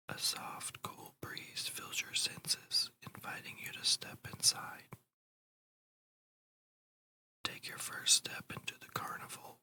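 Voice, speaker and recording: Breathy whisper, bright airy tone, ASMR guided meditation, slow soothing delivery, deliberate phrasing.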